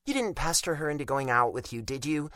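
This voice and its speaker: Guy doing a teasing young sister for an audiobook, pitched up, sassy, dry recording. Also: animated, brisk, a bit bright, no room sound. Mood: teasing, pointed. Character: teasing, sharp-tongued young adult sister.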